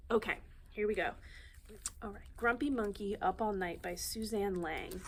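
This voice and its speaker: A woman's voice: medium pitch, moderate pace. A woman with a mid-range voice leads a children's storytime. She reads picture books aloud and slips in informal, conversational asides. There is faint noise behind her.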